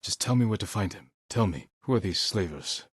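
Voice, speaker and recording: An actor playing a solemn, resolute samurai warrior in a video game, speaking in a measured, earnest, formal way. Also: male voice, on the low side, expressive, husky, dry recording, clean studio sound. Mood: steady, serious. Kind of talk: dramatic video game voice acting.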